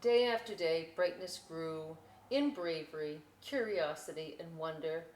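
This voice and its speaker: A woman reading a picture book aloud as a storytime host, enthusiastic and expressive, with dramatic emphasis and chatty asides. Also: deep voice, unhurried. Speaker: library storytime reader. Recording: roomy echo, clear background noise.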